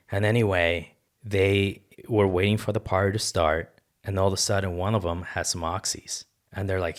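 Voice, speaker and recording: A man, a podcast guest telling personal stories in an earnest, colloquial way. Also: deep voice. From born in Ecuador, moved to the United States. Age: 30s. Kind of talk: casual personal storytelling.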